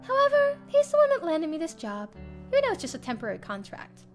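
A young woman with a high voice reads a first-person story expressively. Her intonation swings in a lively way, and music plays behind her. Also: fast. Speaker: streamer reading a visual novel. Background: game music.

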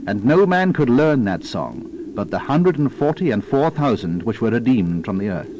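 A man's voice: mid-range voice, sing-song, normal speed, background noise. A man narrating a dramatized scripture reading in a solemn, theatrical, declamatory manner. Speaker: Bible narrator.

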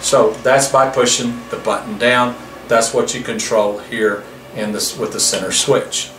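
A man's voice: medium pitch, fairly even intonation, a little slow. A man in his fifties explaining a product in a demonstration style. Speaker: product presenter. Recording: roomy, distant mic, faint background noise.

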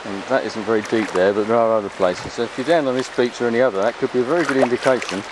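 A man talks in a chatty, rambling way at an ordinary pitch. He is giving an off-the-cuff walking commentary into a handheld camera's microphone. Clear outdoor noise of an open beach runs behind the voice. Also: rapid-fire. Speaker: vlogger filming a beach walk. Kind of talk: casual, unscripted walking commentary. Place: open beach at low tide. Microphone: handheld camera's built-in microphone.

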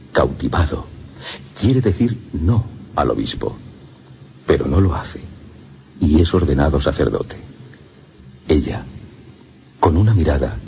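A man with a Castilian Spanish accent narrating a radio drama in a solemn, deliberate, suspenseful way. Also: extremely low pitch, vocal fry, mellow, a little hiss or hum. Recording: music under the voice.

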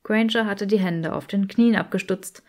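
A woman's voice: mid-range voice, normal speed. A woman reading scripted fiction as an audiobook narrator.

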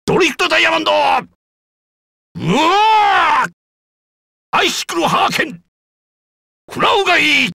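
A haughty yeti war robot from a video game, with a gravelly, forceful voice. He declaims scornfully and imperiously, swinging widely in pitch, like a boss looking down on lesser foes. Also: big pitch swings, a little slow, pauses often, no echo, clean studio sound, full character voice. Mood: battle fury. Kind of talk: Japanese video game villain acting.

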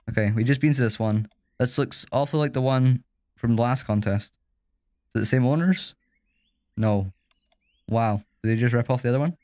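A man's voice: medium pitch, darkish tone, no room sound. A man hosting a live virtual reality game stream, laughing a lot and trading banter with the other players. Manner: laughing, banter-heavy, exclamatory. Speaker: livestream host. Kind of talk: casual live gaming chat.

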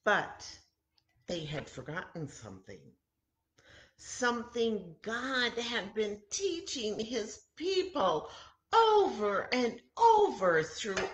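A woman in her sixties talking and reading to children in simple, deliberate phrases with frequent pauses, as a story time host. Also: mid-range voice. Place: home kitchen, indoors. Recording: roomy echoey home webcam recording.

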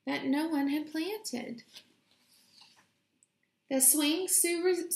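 A young woman reading a children's picture book aloud in a deliberate, expressive storytelling way, recorded in a room at home. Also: on the high side. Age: young adult. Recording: roomy home echo.

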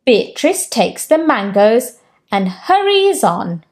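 A woman in her thirties reads a children's storybook aloud in a room at home. Her voice sits a little high and swoops widely as she tells the story gently, taking her time over every line. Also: takes it slowly. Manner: gentle, expressive storytelling with raised-pitch character quotes. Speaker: storytime host. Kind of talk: children's picture book read-aloud. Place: home room with bookshelves, indoors.

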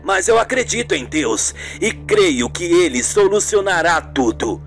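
A performer voicing a calm, devout, fatherly male doctor in a radio soap opera. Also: medium pitch, measured pace, soft background music. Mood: solemn, reassuring.

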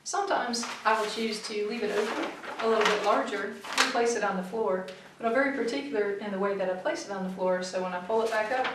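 A woman's voice: mid-range voice, quick pace, very noisy. Methodical woman, very echoey far-off room. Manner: clear, methodical, practical advice. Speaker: flight instructor. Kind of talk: instructional explanation to camera. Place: small studio room in front of a backdrop, seated. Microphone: far camera mic.

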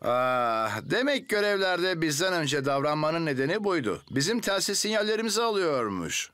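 An actor playing a reassuring, dependable firefighter hero in a children's cartoon dub, encouraging and authoritative. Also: male voice, on the high side, big pitch swings, moderate pace, bright, forceful tone, dry recording. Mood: kindly, encouraging.

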